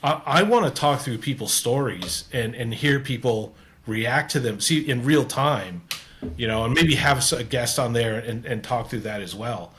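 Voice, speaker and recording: Canadian man, mid-pitched host, earnest and rambly in casual conversation. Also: normal speed, a bit bright, slight background noise. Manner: rambling, restarts often, earnest. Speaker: podcast host. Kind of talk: casual interview chat.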